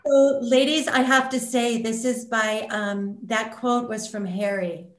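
A woman's voice: a little high, steady tone, measured pace, noisy background. A woman speaking as a theater representative on a panel, presenting and reading out comments. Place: indoors in a room, on a video call. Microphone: computer mic on video call. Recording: roomy video call audio.

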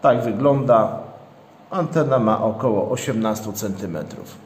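Slow-talking man in an echoey room. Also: fairly low pitch, a little mellow. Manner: methodical, matter-of-fact. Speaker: product reviewer, channel host. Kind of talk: unscripted unboxing narration. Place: indoors, at a tabletop. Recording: echoey hard-walled room, long reverb.

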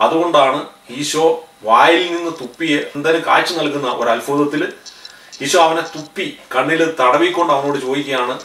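A man's voice: a little high, brisk, pushed and bright, echoey room, recorded from a distance. A man in his thirties preaching a sermon to camera in earnest, his voice rising on key phrases. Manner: earnest, gestures with both hands, voice rises on key phrases. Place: studio in front of a backdrop.

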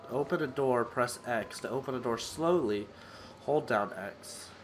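A man's voice: mid-range voice, unhurried, slight background noise. A young man hosting a horror game playthrough, nervous and jittery, with a stuffy, sick-sounding voice on a headset mic. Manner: nervous, jittery, frequent yelps and laughs. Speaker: gaming channel host. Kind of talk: horror game let's play commentary. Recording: game music behind voice.

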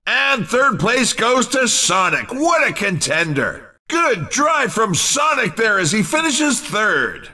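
A man playing an over-the-top, excitable racing game commentator with theatrical, exclamatory announcing. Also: high voice, slow pace, few pauses, far from the mic, exaggerated voice. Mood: excited. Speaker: race commentator. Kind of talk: video game sports commentary.

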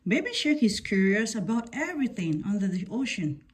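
A woman's voice: medium pitch, big pitch swings, brisk, very noisy. A woman reading a picture book aloud to children as a scripted story-time narration.

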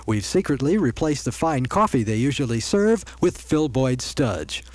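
A man plays a confiding announcer in a spoof radio commercial. He has a medium-pitched voice and sales patter, recorded dry. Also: big pitch swings, normal speed, no echo. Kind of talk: parody coffee commercial.

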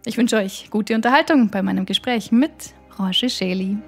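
A woman hosting a podcast, introducing her guest and asking direct questions in a lively way. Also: medium pitch, normal speed, faint music behind. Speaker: podcast host.

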